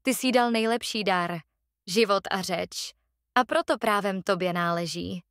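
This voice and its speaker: A woman's voice: medium pitch, fairly bright tone, clean tone, dry recording, studio quality, natural voice. A woman voicing a decisive young princess in a storybook fairy tale. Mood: earnest, decisive. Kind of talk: storybook voice acting.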